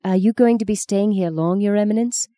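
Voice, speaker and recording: Audiobook schoolboy, read by a woman: well-mannered, earnest, a little awed, soft-edged clear voice. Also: mid-range voice, brisk, no echo, studio quality, voice pushed up, close to an everyday voice. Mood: polite, fascinated. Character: polite, awed young schoolboy. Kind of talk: audiobook character reading.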